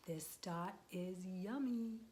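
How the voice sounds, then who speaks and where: A young woman, a children's librarian, reading a picture book aloud to small children, gentle and patient, asking them questions and waiting for their answers. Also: fairly low pitch, slow pace, slight background noise.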